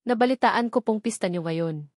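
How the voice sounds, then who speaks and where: A woman's voice: mid-range voice, normal speed, a little mellow, no echo, close to an everyday voice. A woman narrator reads as a young businessman in an audio romance. He is reserved, polite and a little hesitant. The recording is close and dry. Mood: awkwardly polite.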